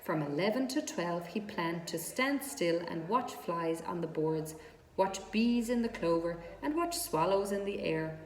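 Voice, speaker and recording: A woman reading a children's story aloud as narrator, with deliberate, expressive phrasing. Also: lowish voice. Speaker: storybook reader. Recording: echoey reverberant room with background noise.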